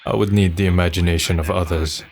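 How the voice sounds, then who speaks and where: Man acting a very deep-voiced, introspective student. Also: fairly even intonation, fast, gravelly, slight background noise. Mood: reflective. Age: young adult. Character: wry, introspective male college student. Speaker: narrator and lead character. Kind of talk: dramatic dub of a manga story. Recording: music under the voice.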